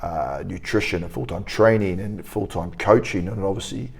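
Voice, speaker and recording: A man with a New Zealand accent speaks in a slightly low voice as a rugby academy coach. He talks earnestly in an interview, often restarting his sentences. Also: moderate pace, gravelly, husky.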